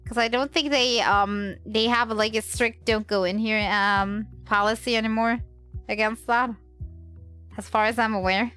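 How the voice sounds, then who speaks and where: A woman's voice: fairly high pitch, fairly even intonation, moderate pace, pushed and bright, clean tone, soft background music. A woman livestreamer with a slight cold, chatting casually in a hesitant, rambling way.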